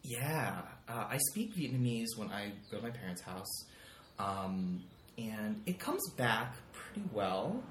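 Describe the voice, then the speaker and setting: A man's voice: lowish voice, big pitch swings, takes it slowly, fairly bright tone, roomy sound, a little hiss or hum. A man guesting on a podcast, chatting casually with many likes and ums, recorded in a living room. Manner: rambling, lots of likes and ums. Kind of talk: casual chat.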